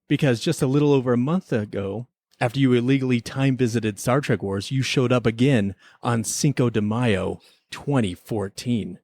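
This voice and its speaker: A man of medium pitch hosts a comic podcast as a mock investigator. He speaks in a theatrical, mock-stern way, recorded dry and close. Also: no echo. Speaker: podcast host. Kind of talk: comic role-play as investigator.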